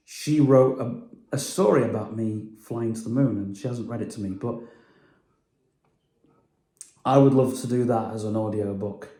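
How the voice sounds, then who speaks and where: A man talks loosely through his vlog, trailing off and filling gaps with hums and ums. His voice sits at a middle pitch with a soft, mellow tone. The room around him rings with echo. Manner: rambling, hesitant, many fillers. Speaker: vlogger. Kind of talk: casual chat.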